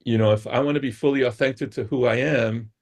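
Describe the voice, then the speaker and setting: A middle-aged man with a gravelly, husky voice talks through ideas as a guest on a talk show. He keeps checking in with his listener by adding "right?" after his points. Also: mid-range voice, fairly even intonation, quick pace. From United States. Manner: explains with frequent "right?" check-ins. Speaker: guest expert. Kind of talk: explanatory talk-show conversation.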